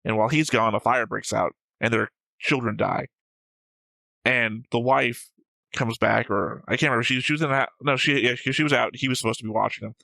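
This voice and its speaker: Guy chatting about a show, kinda gravelly, middle pitch, rambles and swears a lot. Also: fairly even intonation, a little fast, bright, forceful tone, no echo, poor audio. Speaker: reaction show host. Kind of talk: casual discussion of an animated series.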